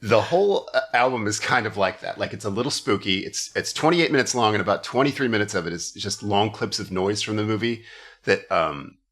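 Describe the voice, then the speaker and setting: A man's voice: fairly low pitch, expressive, fairly quick, a bit bright. A man hosting a comedy podcast, chatting casually with wry, exasperated and profane riffing. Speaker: podcast host.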